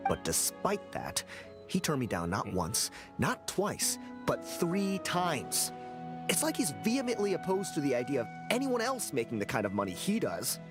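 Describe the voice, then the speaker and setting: A man's voice: fairly high pitch, wildly swooping pitch, normal speed. An actor playing a jealous male researcher in a game, with a sulky, scoffing, grudging way of talking. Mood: resentful. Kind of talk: game voice acting. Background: game music.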